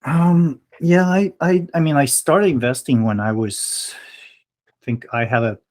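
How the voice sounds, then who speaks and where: A man's voice: fairly high pitch, measured pace, a little mellow. A man in his thirties, from Taiwan and raised in Canada, answering interview questions casually with lots of hesitation and restarts. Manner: hesitant, many fillers and restarts. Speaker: guest, blogger.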